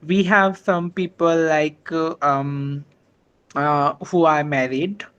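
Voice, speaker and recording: A man's voice: a little high, steady tone, slow, a bit bright, clean tone, muffled recording. A man whose first language is Hindi, speaking politely with many fillers during an online meeting. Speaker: meeting organizer. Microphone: video call.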